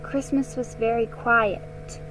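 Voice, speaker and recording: A woman's voice: fairly high pitch, fairly even intonation, takes it slowly, noisy background. Woman reading as a subdued storybook sister.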